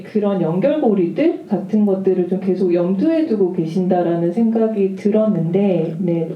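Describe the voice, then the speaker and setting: Distant, echoey woman moderator. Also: medium pitch. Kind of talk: moderated film discussion. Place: cinema hall at a festival Q&A. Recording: roomy, distant, muffled camera audio.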